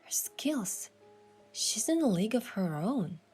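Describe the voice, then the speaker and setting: A woman's voice: on the high side, big pitch swings, unhurried, a little mellow, slightly rough, soft background music. A woman giving scripted first-person narration of an adventure story as its protagonist.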